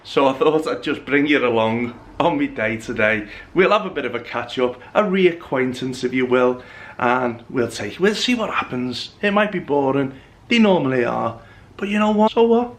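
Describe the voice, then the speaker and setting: A man's voice: medium pitch, expressive, moderate pace. A young man, chatting casually to camera in a rambling, self-mocking way while getting over the flu. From England. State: recovering from flu. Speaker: vlogger. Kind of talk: casual vlog chat to camera.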